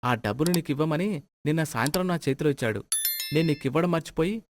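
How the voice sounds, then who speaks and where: A man playing a flustered, high-pitched husband. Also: lively intonation, fast talker, lightly acted. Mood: smug, relieved. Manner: exclamatory, questioning. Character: flustered, thrifty son and husband, comically bewildered.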